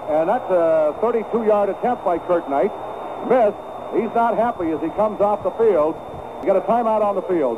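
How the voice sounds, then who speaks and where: A man doing folksy, partisan live radio play-by-play of a football game with vivid calls. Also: a little high. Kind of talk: live sports play-by-play commentary. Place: stadium broadcast booth. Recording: noisy 1970s AM radio broadcast, narrow band. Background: loud stadium noise.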